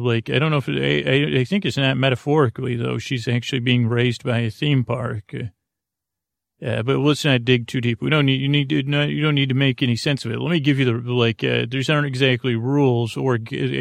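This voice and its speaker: Male sleep-podcast host. Meandering, stop-start delivery with plenty of vocal fry, very dry sound. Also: medium pitch, no room sound. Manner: meandering, halting, repetitive. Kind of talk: rambling sleep podcast monologue.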